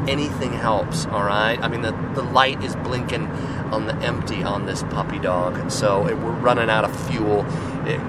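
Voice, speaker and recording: Hoarse, raspy man rambling on a podcast. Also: mid-range voice, heavy background noise. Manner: joking, loose, self-deprecating. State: hoarse, getting over a cold. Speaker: podcast host. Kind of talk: casual rambling monologue.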